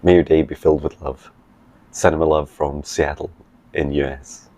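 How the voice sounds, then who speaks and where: Very deep, flat-voiced man. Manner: soft, slow affirmations; chatty with many ums in updates. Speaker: psychic mentor. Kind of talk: meditation messages and video diary updates.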